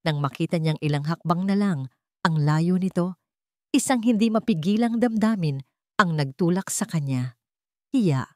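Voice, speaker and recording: Woman, Filipino accent, lowish pitch, dramatic story narrator, close dry recording. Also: animated, normal speed, darkish tone, no room sound.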